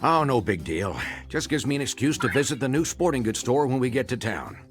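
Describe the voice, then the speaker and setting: A man voices an elderly cartoon grandfather, kindly and protective. His medium-pitched voice moves up and down expressively. He sounds wry and paternal, with faint music behind. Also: sing-song, brisk, natural voice. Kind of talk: animated cartoon game acting.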